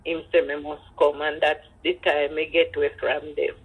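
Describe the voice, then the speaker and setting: A woman's voice: low-pitched, level tone, moderate pace, husky, narrow, tinny audio. An older woman with a Jamaican accent telling personal stories in patois, acting out the dialogue. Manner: speaks in patois, acts out dialogue. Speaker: grandmother telling her life story. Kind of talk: personal storytelling.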